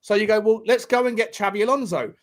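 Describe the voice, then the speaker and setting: A man co-hosting a football podcast, animated and long-winded, tagging his points with "right?" and "mate". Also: sits high, fast. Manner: animated, explains at length, says "mate" and "right". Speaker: podcast co-host. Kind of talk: casual football chat.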